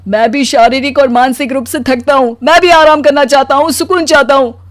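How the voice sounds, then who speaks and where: A woman voicing a weary, put-upon, soft-hearted husband in an animated story, with a plaintive manner. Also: high voice, brisk, no room sound, studio quality, natural voice. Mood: anguished.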